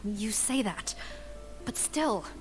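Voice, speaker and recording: A woman's voice: mid-range voice, animated, extremely slow, soft background music, poor audio. An actress playing a dutiful, businesslike female officer of the law in a video game, formal and earnest. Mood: worried, exasperated.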